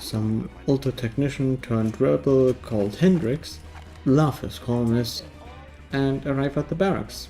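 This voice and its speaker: A man's voice: mid-range voice, vocal fry, mellow. A man narrating a scripted video game review in a wry, tongue-in-cheek way.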